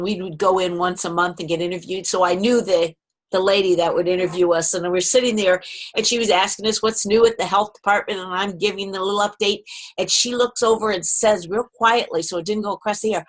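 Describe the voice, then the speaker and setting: A woman's voice: medium pitch, fairly bright tone. A woman hosting a casual video call workshop, telling stories in a chatty way. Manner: chatty storyteller. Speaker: workshop host.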